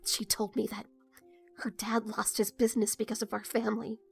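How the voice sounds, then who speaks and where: A woman's voice: medium pitch, completely flat delivery, moderate pace, vocal fry, faint music behind, close to an everyday voice. A woman acting in an audio drama as an earnest, uneasy teenage daughter, hesitant, subdued and confessional. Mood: hurt, indignant.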